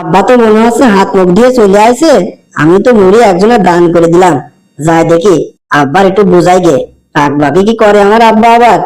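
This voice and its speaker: A man's voice: high-pitched, fairly quick, a little mellow, natural voice, low-quality recording. A man voicing a kind, devout young village son in a cartoon, earnest and colloquial, with a rural Bangladeshi accent. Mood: worried. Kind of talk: amateur cartoon voice acting.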